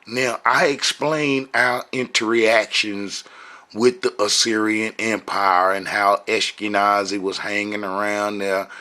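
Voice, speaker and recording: A man's voice: on the low side, level tone, takes it slowly, bright, forceful tone. A man giving impromptu religious commentary, preaching emphatically and repeating phrases for stress. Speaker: online religious teacher.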